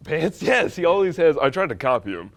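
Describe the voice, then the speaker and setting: A young man guesting on a college talk show, joking in a dry, lively way. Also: fairly high pitch, a little fast. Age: young adult. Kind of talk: casual comedic interview. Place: college studio set.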